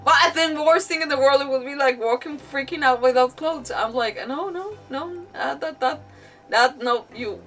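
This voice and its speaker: A woman's voice: high-pitched, sharp tone, far from the mic. A woman livestreaming a video game, chatting casually in a rambling, emphatic way and often repeating words. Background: faint game music.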